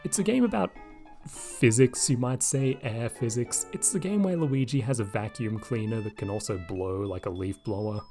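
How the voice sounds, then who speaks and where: A man with a slightly raspy voice, casually commenting over gameplay into a desk microphone and thinking aloud with lots of asides. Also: medium pitch, normal speed, warm tone, faint music behind. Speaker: video game commentator. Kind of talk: casual let's-play commentary.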